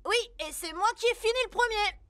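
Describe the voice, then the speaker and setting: Excitable little cartoon vehicle: very high, bright and forceful, exuberant, faint music. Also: female voice, fairly quick. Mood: proud, happy. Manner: exuberant, eager. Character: excitable, eager talking rescue vehicle. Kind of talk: children's cartoon dub.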